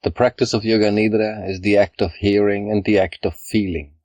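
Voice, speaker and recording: A man with a low-pitched voice guides a relaxation practice in calm, measured phrases. He gives each instruction plainly and leaves pauses between them. The sound is dry and close, with very little room. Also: no echo. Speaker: yoga nidra guide. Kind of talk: guided meditation, scripted narration.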